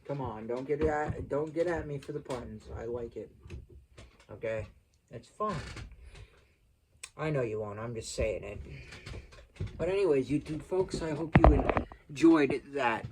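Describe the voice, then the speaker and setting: A man's voice: mid-range voice, mellow, slight background noise, low-quality recording. A man chatting casually to his viewers as a channel host, rambling along in a folksy, self-deprecating way. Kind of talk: casual vlog chat to viewers.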